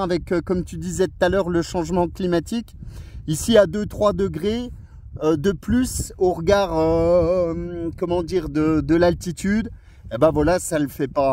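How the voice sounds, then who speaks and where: A man's voice: high-pitched, darkish tone, a little hiss or hum. A man chatting casually and colloquially as an amateur radio operator, in an easygoing manner. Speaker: radio operator on a lunch break. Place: outdoors in the mountains.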